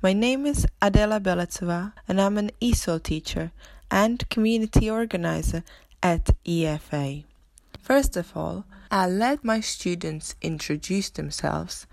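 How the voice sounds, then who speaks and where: Female teacher-host, measured presenting, a little gravelly, dry studio. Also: lowish voice, a little mellow, no echo. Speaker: ESOL teacher and podcast host. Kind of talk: introducing a podcast.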